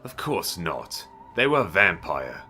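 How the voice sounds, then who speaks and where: A man acts out a gruff, haughty mayor in a fantasy audio drama. His voice is extremely deep and has a forceful edge. He speaks formally and with condescension over soft music. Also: sing-song, measured pace, full character voice. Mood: irritated, defensive. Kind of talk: fantasy audio roleplay acting.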